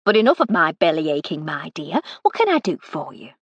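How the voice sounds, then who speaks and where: A cheery, motherly older cook, played by a woman, chatty and warmly bossy. Her voice bounces with lively pitch and has a husky grain. It sounds close and dry. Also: brisk, dry recording, dull audio, heavily acted.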